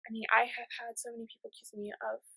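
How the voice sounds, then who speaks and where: A woman's voice: fairly high pitch, little pitch movement, racing, bright, forceful tone, no echo. A woman losing her voice, talking in a casual, reflective monologue, hesitant and often restarting her thoughts. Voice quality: husky, voice cracking in and out. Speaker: vlogger.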